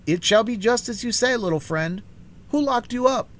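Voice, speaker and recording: A man's voice: extremely high pitch, lively intonation, moderate pace, pitched above the performer's own voice. A man voicing a kindly, easygoing male scarecrow in a storybook reading. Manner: gentle, reassuring.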